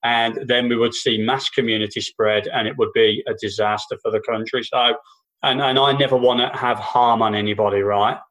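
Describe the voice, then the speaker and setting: A man's voice: mid-range voice, fairly even intonation, normal speed, a bit bright, low-quality recording. A man co-hosting a casual political chat podcast, talking in long sweary runs full of false starts and restarts. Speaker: podcast co-host and stand-up comedian. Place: New Zealand.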